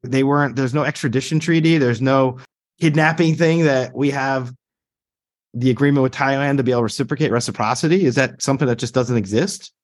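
A man's voice: mid-range voice, brisk. A man hosting a podcast interview who works as an attorney, asking questions in an earnest, sympathetic way. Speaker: podcast host.

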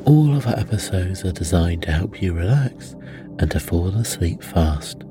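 A man's voice: low-pitched, animated, normal speed. A man reading a scripted podcast advertisement as an announcer. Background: music.

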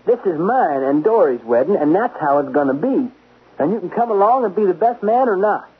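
Defiant young star, high and raspy, tinny old broadcast. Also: male voice, sing-song, fairly quick, heavily acted. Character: stubborn young male star about to marry. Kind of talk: 1940s radio acting.

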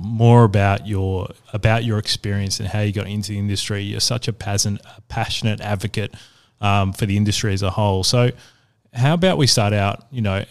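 A man's voice: lowish voice, smooth. A male podcast host who reads a scripted guest introduction, then chats in a friendly, encouraging way with hesitant restarts.

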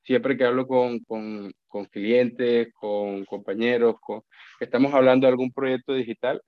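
A man's voice: medium pitch, steady tone, a little slow, no room sound, phone-quality sound. A man from Colombia with a Colombian Spanish accent, chatting as a podcast guest in a reflective way, often restarting and repeating words. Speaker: guest, account manager. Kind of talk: casual podcast conversation.

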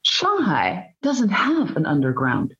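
A woman from Belgium with a Dutch accent, a journalist giving animated, emphatic answers as an interview guest over dull, lo-fi remote audio. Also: on the low side. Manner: animated, emphatic storytelling with drawn-out phrases.

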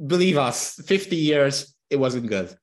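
A man's voice: medium pitch, lively intonation, unhurried. A man from Transylvania whose first language is Hungarian, chatting as a podcast guest, often restarting and repeating words as he tells stories. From Transylvania, Romania. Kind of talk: casual interview talk.

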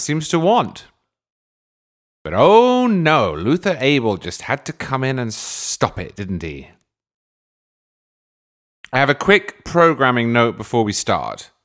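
A man's voice: mid-range voice, fairly bright tone. A man hosting his podcast with a dry, wordy, mock-grandiose manner before interviewing a guest. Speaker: podcast host. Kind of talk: scripted comic monologue and interview.

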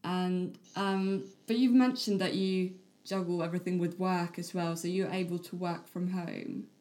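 Woman podcast host, low-pitched, warm encouraging interviewer. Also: steady tone, normal speed. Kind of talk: casual interview hosting.

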